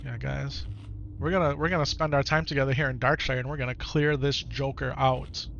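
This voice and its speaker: A man's voice: medium pitch, fairly even intonation, brisk, creaky, fairly bright tone, dull audio, slight background noise. A man with an Upper Midwest American accent, chatting casually to his viewers during a live game stream and often repeating himself. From Wisconsin, United States. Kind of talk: casual live-stream chat over gameplay.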